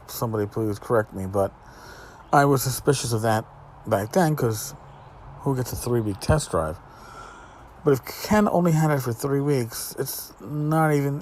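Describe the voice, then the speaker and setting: A man's voice: mid-range voice, darkish tone. A man hosting a podcast alone, talking casually in a rambling, exasperated, sarcastic way. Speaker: podcast host. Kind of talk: casual solo commentary, reading out emails. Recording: noticeable background noise.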